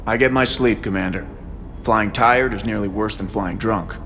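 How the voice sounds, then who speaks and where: An actor playing a dedicated, quietly wistful shuttle pilot in a video game, measured and reflective. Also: male voice, lowish voice, slight background noise, natural voice. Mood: assured. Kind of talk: video game dialogue acting.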